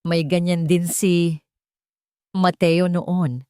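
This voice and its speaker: Woman reader as a reserved rich dad in a love story, restrained, authoritative, lowish and mellow. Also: little pitch movement, very slow, clear, resonant tone, no echo, studio quality. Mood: soft, wistful. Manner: quiet, restrained. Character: reserved, grieving billionaire father, cold and authoritative. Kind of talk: story character dialogue.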